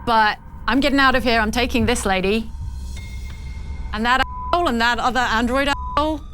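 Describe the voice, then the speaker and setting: A woman's voice: on the high side, brisk, over music, natural voice. A woman playing a desperate, blunt, sweary turncoat spaceship crew member in a tabletop sci-fi game. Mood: defiant, apologetic.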